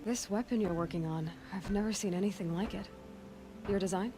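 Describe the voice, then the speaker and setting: An earnest young woman hunter , voiced by an actress with a middle-pitched voice. She is direct and inquisitive, with a dry edge, as a game heroine. Music runs clearly behind her. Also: brisk, lightly acted. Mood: curious, direct. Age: young adult. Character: earnest, determined young female machine hunter. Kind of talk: video game character acting. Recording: music under the voice.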